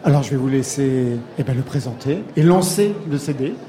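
A man's voice: mid-range voice, lively intonation, brisk, reverberant, noisy background. A man hosting a radio music interview show. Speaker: radio host.

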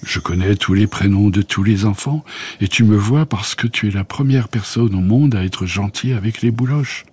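A man's voice: lowish voice, normal speed, a little mellow, slightly rough, voice pushed up. A man doing a kind storybook Father Christmas. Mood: reassuring. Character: Santa Claus as a woman, kind and calm.

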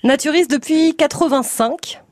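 A woman hosting a radio call-in show, talking in a lively, chatty way and often jumping in with questions. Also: sits high, dry recording, studio quality. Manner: lively, chatty, quick to interject. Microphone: studio microphone.

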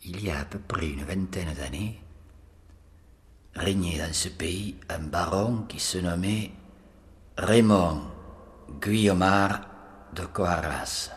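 A man playing a solemn medieval storyteller who recounts a legend slowly and ceremoniously. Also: low-pitched.

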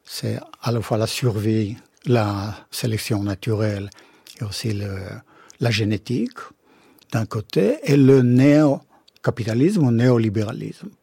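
A man's voice: measured pace, creaky, breathy edge, no echo. A middle-aged man, a philosopher and non-native speaker of the language, giving an ironic, emphatic explanation in a radio studio interview. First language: not French. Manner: expressive, ironic, emphatic with sudden pitch jumps. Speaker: philosopher guest.

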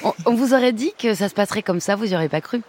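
A woman radio host reading a crisp portrait of her guest, then asking questions in a chatty way. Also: medium pitch, animated, very fast, a little mellow, soft background music. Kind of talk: scripted portrait then interview.

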